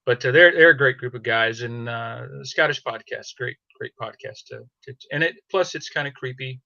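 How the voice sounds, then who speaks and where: A man chatting casually as a panel guest, halting often as he searches for words. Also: mid-range voice, gravelly, bright, forceful tone, no echo, muffled recording. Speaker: audio drama podcaster, panel guest.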